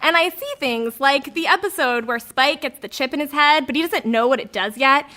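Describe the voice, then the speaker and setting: A woman's voice: high voice, moderate pace, bright, forceful tone. A woman in her thirties reading a comic confessional story in a candid, wry way, acting out the lines she quotes.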